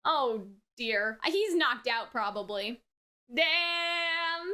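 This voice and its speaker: Female reaction host, very high-pitched, unhurried delivery, exclamatory and unscripted. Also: lively intonation, pushed and bright. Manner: exclamatory, repeats words, thinks aloud. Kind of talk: casual off-the-cuff reaction commentary on anime.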